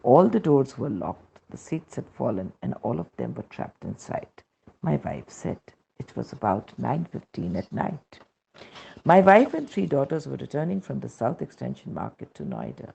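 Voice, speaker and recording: A very husky man reads a devotional testimonial from a script. His voice is heavy with creak and swings noticeably in pitch as he narrates. The recording is dull and lo-fi. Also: sing-song, moderate pace, warm tone.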